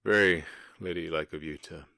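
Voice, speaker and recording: Casting: male actor for menacing gang boss in ASMR roleplay, deep-voiced, taunting and falsely polite, very close dry recording. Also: fairly even intonation, gravelly, sharp tone, clean tone, no room sound, full character voice. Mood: mock-polite, taunting. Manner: mock-polite, taunting, deliberate pauses.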